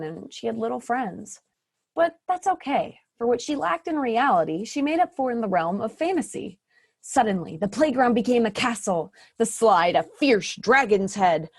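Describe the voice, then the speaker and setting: A woman's voice: a little high, darkish tone. A woman narrating a stage fairy tale in a wry storytelling way.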